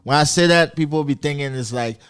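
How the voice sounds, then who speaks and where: Man, from France, casual podcast chat, slangy and relaxed, clear tone. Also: fairly high pitch, brisk, dry recording, studio quality. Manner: laid-back, full of slang fillers. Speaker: podcast guest, artist.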